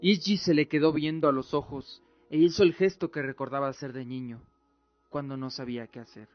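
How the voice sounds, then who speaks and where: Higher-voiced male drama narrator over music. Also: steady tone, a little fast, muffled recording. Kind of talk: scripted dramatic storytelling narration.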